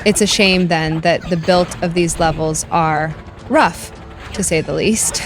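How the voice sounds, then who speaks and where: A woman's voice: on the low side, unhurried. A woman reading scripted review narration as a video game reviewer, with music behind her.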